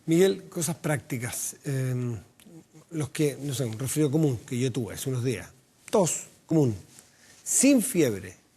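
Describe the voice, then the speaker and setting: Mellow-voiced TV host. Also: male voice, medium pitch, measured pace, breathy edge. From Chile. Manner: direct, lively questioning. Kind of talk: studio TV interview. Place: TV studio.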